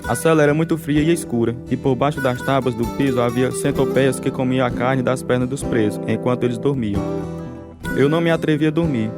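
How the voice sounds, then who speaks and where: A persecuted, devout husband in prison , played by a man, recounts his ordeal in a Brazilian accent for a radio drama. His voice sits at a middling pitch over clearly heard guitar music. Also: fairly even intonation, brisk, natural voice. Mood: grave, suffering. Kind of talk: dramatized radio testimony narration.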